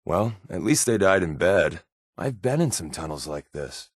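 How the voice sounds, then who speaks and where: A man's voice: low-pitched, big pitch swings, normal speed, slightly rough, no echo, clean studio sound, full character voice. A man playing a wry, laid-back spy companion in a post-apocalyptic video game, given to sardonic asides. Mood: subdued, somber.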